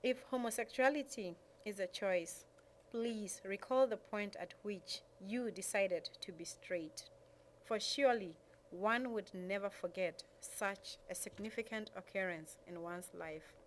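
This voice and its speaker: A woman's voice: medium pitch, measured pace, poor audio. A woman reading a prepared statement aloud. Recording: clear background noise and room ambience.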